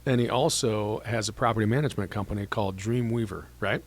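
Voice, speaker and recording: Fast-talking upbeat male host. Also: fairly low pitch. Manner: chatty, upbeat, quick affirmations. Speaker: podcast host, mortgage lender. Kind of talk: casual podcast interview.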